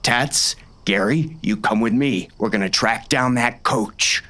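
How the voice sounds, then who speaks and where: An actor playing a hard, commanding western gang boss, stern and clipped, in an audiobook. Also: male voice, medium pitch, measured pace, pushed and bright. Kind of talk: first-person western audiobook narration and dialogue.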